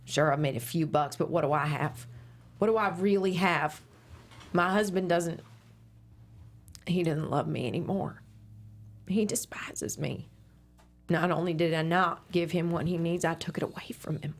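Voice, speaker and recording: A woman's voice: lowish voice, normal speed, pauses often. A woman playing a proud, wounded, assertive conservative radio host and mother at a script table read. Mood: anguished. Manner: assertive, polished on-air patter.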